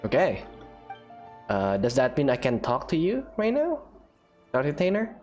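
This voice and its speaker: A woman's voice: very deep voice, wildly swooping pitch, measured pace, darkish tone, faint music behind. A woman gaming host giving casual let's-play commentary, reacting and thinking aloud as she plays.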